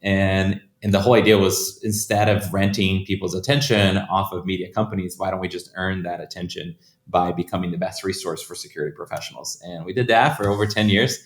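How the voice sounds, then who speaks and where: A man's voice: low voice, fairly even intonation, brisk. A man from Brazil, a guest on an interview podcast, telling his career story in a loose, conversational way and often repeating words as he restarts. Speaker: guest, startup founder. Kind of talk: casual interview answers. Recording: echoey room.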